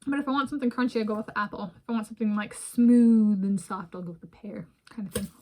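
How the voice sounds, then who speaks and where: A woman's voice: medium pitch, steady tone, fast, warm tone. A woman in her thirties from the United States, chatting casually in a food vlog at home, wandering off topic as she eats. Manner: rambling, digressive, talks while eating. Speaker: vlogger. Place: home room with bookshelves, indoors.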